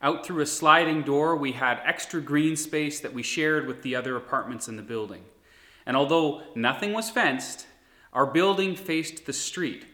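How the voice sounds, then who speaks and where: A man in his thirties, a pastor, giving a sermon in an earnest, steady preaching manner. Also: fairly high pitch, normal speed. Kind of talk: recorded sermon. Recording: echoey room.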